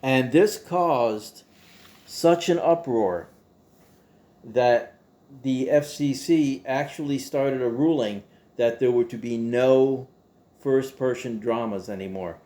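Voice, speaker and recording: A man's voice: mid-range voice, takes it slowly, dark tone. A man hosting a show in a casual, opinionated monologue, rambling conversationally with emphatic rhetorical asides. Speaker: host of a show about old radio.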